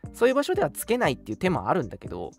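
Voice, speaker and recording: A man's voice: a little high, wildly swooping pitch, very fast. A young man co-hosting a casual radio talk show, explaining things in a conversational way. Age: young adult. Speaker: radio show host from an audio drama studio. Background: background music.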